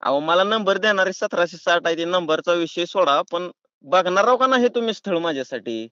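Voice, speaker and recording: A man's voice: sits high, animated, normal speed, bright, forceful tone, dry recording. A man with a rural Maharashtrian accent talking in a pushy, folksy, argumentative way on a prank phone call. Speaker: prank caller. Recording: dull, lo-fi phone call recording.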